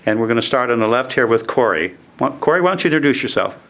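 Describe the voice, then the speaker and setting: A man's voice: mid-range voice, expressive, fast talker, fairly bright tone. A man hosting a casual interview, conversational and prompting each guest by name. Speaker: interviewer, band fan site host.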